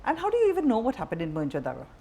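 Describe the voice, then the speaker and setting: A woman conducts an interview in an informal, direct manner. Her voice sits a little high and moves through a wide, animated range of pitch as she puts her questions. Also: very fast, a little hiss or hum. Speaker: interviewer, journalist. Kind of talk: conversational interviewing.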